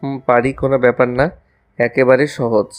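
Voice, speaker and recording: A man's voice: mid-range voice, level tone, moderate pace, soft background music, close to an everyday voice. A man playing a lovestruck, casual young college student in a romantic audio story.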